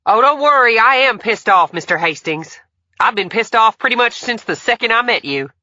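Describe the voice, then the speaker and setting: A man voicing a sharp-tongued, fiery woman federal agent, clipped and angry. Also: fairly high pitch, fairly quick, dry recording, dull audio, pitched above the performer's own voice, close to an everyday voice. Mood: furious.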